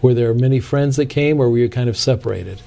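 A man's voice: medium pitch, a little fast, vocal fry, mellow, soft background music. A man hosting an interview, summarizing his guest's points and asking probing follow-up questions. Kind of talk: conversational interview.

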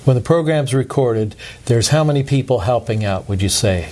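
Man, talk show host, conversational, friendly questioning, mid-range pitch. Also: expressive, normal speed, a little mellow. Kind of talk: studio talk show interview.

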